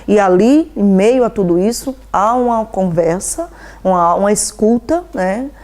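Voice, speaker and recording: A woman's voice: medium pitch, expressive, a little slow, a little mellow. A woman from Bahia, an NGO president answering interview questions in a devout, earnest way and often adding "né?". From Bahia, Brazil. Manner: devout, earnest, frequent "né?" tags.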